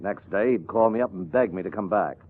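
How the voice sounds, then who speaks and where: An actor playing an evasive, self-justifying showbusiness agent in a 1950s radio drama. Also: male voice, fairly low pitch, fairly quick, natural voice. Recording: tinny old radio recording.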